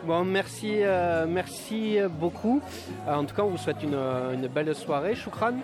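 A man's voice: a little high, normal speed. A man interviewing residents at an outdoor neighbourhood party, asking polite guiding questions. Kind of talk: street interview. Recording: outdoor party with music behind.

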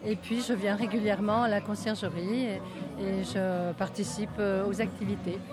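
An older retired woman answering interview questions carefully, with pauses and fillers, at an outdoor neighbourhood party. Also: medium pitch, steady tone. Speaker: retired resident volunteer. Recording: outdoor party with music behind.

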